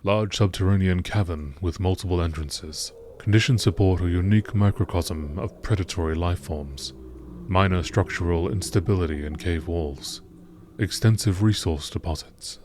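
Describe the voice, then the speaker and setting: Low-voiced man narrating in documentary style. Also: noisy background. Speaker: narrator reading out game lore.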